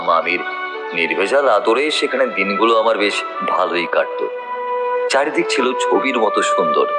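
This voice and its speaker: A man narrating a scripted story in the first person, in a dramatic storytelling style. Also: on the low side.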